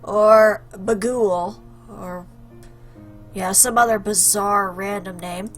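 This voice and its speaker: A woman's voice: mid-range voice, fairly even intonation, slow pace, faint music behind. A woman giving casual, jokey commentary as she plays a game, reading choices aloud in a playful, theatrical, teasing way.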